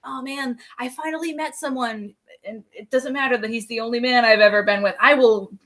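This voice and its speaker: A woman's voice: fairly high pitch, fairly even intonation, fairly quick, a bit bright. A young woman co-hosting a live book club, chatting casually, swearing freely and acting out characters' thoughts.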